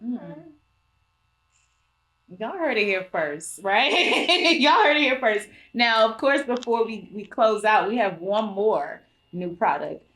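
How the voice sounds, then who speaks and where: A woman's voice: mid-range voice, lively intonation, takes it slowly, a bit bright, rough recording. A woman hosting a podcast, chatting casually, laughing often and addressing listeners directly. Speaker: podcast host. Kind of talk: casual chat.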